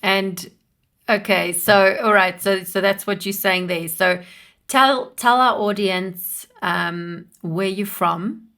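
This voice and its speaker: A woman's voice: medium pitch, fairly even intonation, slow pace, pushed and bright. A woman hosting an interview podcast, prompting her guest in an encouraging, conversational way. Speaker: podcast host.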